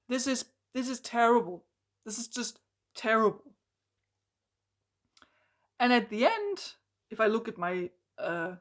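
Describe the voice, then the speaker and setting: A hoarse woman reviewing a book in an exasperated, emphatic rant, full of "like" and restarts. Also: on the high side, a bit bright.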